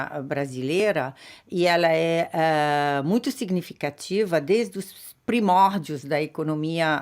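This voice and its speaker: Woman interview guest with a low voice, speaking in a measured, hesitant way with many pauses. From Brazil. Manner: thoughtful, hesitant, many filler sounds and restarts. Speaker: interview guest, chamber of commerce president. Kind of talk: interview answers. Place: radio studio.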